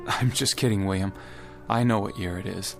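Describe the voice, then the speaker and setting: An actor playing a skeptical, courteous and somewhat weary gentleman in a naturalistic radio play. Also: deep voice, normal speed, creaky, faint music behind.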